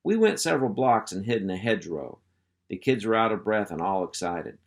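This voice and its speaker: Guy reading a kids chapter book aloud, folksy, lowish voice. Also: brisk, vocal fry. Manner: folksy, expressive storyteller. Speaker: school superintendent reading aloud. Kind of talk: storybook read-aloud narration.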